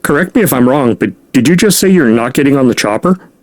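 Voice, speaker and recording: A man plays an American aide to a president in an audio drama. His mid-pitched voice is dry and close, formal, deferential and pressingly earnest. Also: lively intonation, fast talker, a little mellow, dry recording, close to an everyday voice. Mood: urgent, incredulous.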